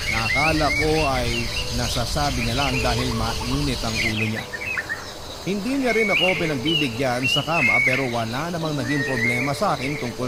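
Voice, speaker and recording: A man's voice: medium pitch, natural voice. A man playing a devoted, heartbroken boyfriend, narrating his story in a sorrowful, confiding way for a radio drama. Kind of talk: scripted first-person drama narration. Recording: music under the narration.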